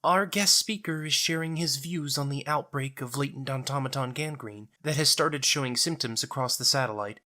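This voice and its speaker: A man voicing a gentle, petite, devout woman in an audio drama, speaking politely and formally. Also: medium pitch, normal speed, hardly any pauses, voice pushed up, lightly acted. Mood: earnest, restrained.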